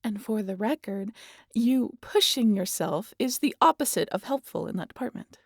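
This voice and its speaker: An actress as a sardonic female vampire in an intimate audio roleplay. Her voice is gravelly with vocal fry and recorded very dry and close. She teases and scolds in a casual, sweary way, protective beneath the sarcasm. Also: mid-range voice, expressive, normal speed, no echo. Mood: coaxing, wry. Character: teasing, sardonic immortal female vampire, protective of wounded hunter.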